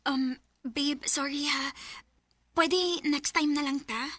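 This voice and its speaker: A woman's voice: high voice, steady tone, slow pace, fairly bright tone, no room sound. An actress playing a coy, evasive young girlfriend in a Filipino radio drama, with a Bisaya accent. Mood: apologetic, hesitant. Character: coy, evasive young girlfriend keeping her romance secret.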